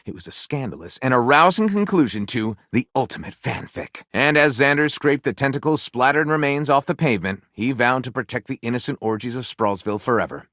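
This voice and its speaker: An actor playing a flamboyant, melodramatic storyteller who narrates trashy fan fiction in a comedy video game with grand, playfully sardonic flourish. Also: male voice, mid-range voice, brisk, few pauses, fairly bright tone, no echo, studio quality, natural voice. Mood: dramatic narrating.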